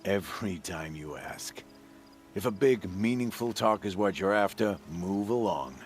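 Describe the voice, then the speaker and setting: An actor voicing a gruff, wary outlaw trader and former outcast in a video game, laconic and guarded. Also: male voice, low-pitched, animated, a little slow, soft background music. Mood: curt. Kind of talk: video game dialogue acting.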